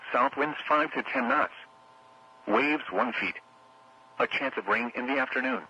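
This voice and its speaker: A synthetic male announcer reads a weather forecast over the radio in formal, clipped phrases. His voice is very sharp and forceful, with a gravelly creak and a raspy, rough finish. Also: mid-range voice, low-quality recording. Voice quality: synthetic text-to-speech voice. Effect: radio broadcast. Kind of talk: scripted weather forecast readout.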